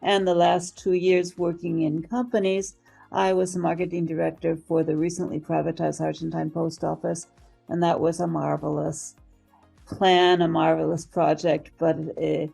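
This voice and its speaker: Low, mellow, gravelly older American woman. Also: little pitch movement, normal speed, soft background music. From United States. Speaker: interview guest, marketing professional and professor. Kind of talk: interview answers, career account.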